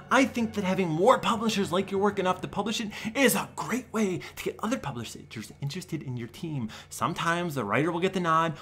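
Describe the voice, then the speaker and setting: A young man giving an over-the-top dramatic reading as a smug, defensive forum poster, theatrical and swinging between breathy whispers and shouting. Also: fairly high pitch. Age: young adult. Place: small room at home.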